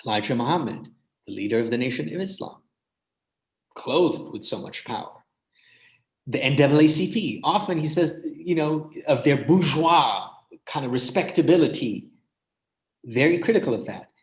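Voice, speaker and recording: A man's voice: on the high side, expressive, normal speed. Emphatic male scholar in an echoey room. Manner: emphatic, often tags points with "right?". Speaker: guest scholar. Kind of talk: thoughtful, emphatic spoken commentary in a panel talk.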